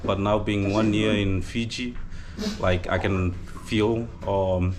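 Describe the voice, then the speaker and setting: A man's voice: fairly low pitch, little pitch movement, slow pace, noisy background. A man in his twenties with a Danish accent tinged with Fijian, answering viewer questions hesitantly in a casual chat, with many fillers. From Denmark. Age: 20s. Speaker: vlogger. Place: village gathering in Fiji.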